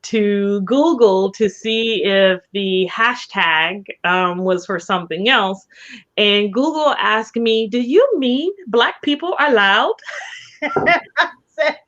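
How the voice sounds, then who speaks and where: A woman co-hosting a casual chat in a measured, encouraging way, on slightly dull audio. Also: medium pitch, a bit bright. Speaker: co-host. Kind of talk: casual chat promoting a reading event.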